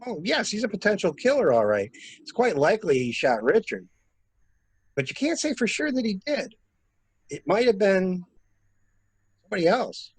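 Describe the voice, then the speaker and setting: A man playing a calculating murderer who poses as a helpful investigator, musing theatrically in measured phrases in an amateur mystery play. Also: high-pitched, very animated, husky, no room sound, full character voice. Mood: musing, insinuating. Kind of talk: amateur radio-play style mystery acting.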